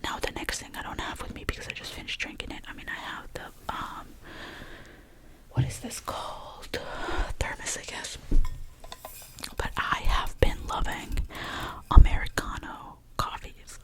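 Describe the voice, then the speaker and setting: A woman, whispering softly through a chatty, rambling ASMR talk about her favourite things. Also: unhurried, low-quality recording. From Albania. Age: 20s. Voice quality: soft unvoiced whisper. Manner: rambling and chatty, with many fillers. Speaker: ASMR creator.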